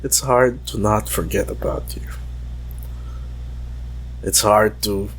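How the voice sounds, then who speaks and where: A man giving an intimate, emotional monologue in a halting, confessional way. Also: fairly low pitch, steady tone, a bit bright, slight background noise, poor audio.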